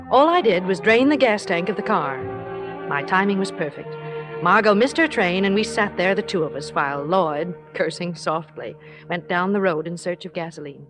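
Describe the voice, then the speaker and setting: A woman acting a genteel, anxious friend in a vintage radio drama, speaking in a polite, measured storytelling way. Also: mid-range voice, natural voice. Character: genteel, anxious woman friend narrating her guilty scheme.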